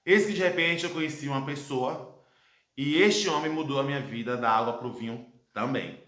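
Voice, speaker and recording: A Brazilian man in his thirties speaks at an ordinary, mid-range pitch, telling his own story in an earnest, reflective way. He leans on dramatic pauses as he goes. The room around him rings with a noticeable echo. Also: fairly bright tone. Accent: Brazilian Portuguese. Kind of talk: personal testimony monologue. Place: his home, indoor room. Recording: echoey room.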